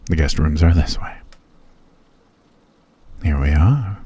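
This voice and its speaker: A man voicing a gracious elf who has lived for centuries, speaking in an ASMR roleplay. His voice is very deep, mellow and husky, and it sits dry and close to the mic. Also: dry recording, heavily acted. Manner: gracious, formal and softly spoken. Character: ancient male elf host, courteous and genteel.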